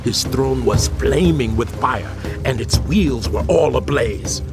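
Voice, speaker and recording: Male actor as awed prophet, medium pitch, intense dramatic storytelling, audio Bible drama, very loud music underneath. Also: slow pace, close to an everyday voice. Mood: awed, fearful. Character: awestruck prophet recounting a terrifying night vision.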